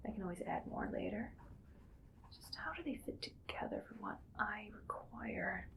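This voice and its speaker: A woman playing a bossy, musing female mad scientist in a whispered ASMR roleplay, speaking in a breathy, clinical, quietly menacing way. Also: medium pitch, lively intonation. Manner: slow musing, clinical, domineering. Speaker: ASMR performer.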